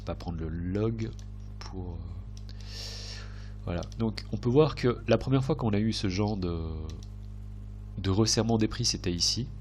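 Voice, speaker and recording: A man's voice: on the low side, measured pace, long pauses, a little mellow, a little hiss or hum. A man with a slightly congested, cold-affected voice, giving hesitant, unscripted chart commentary as a trading video host, often correcting himself as he thinks aloud.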